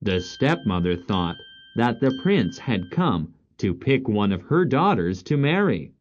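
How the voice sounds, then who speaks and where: A woman's voice: extremely low pitch, sing-song, a little slow, a little mellow. A woman narrating a scripted children's story as the storyteller. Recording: dull lo-fi audio, some noise.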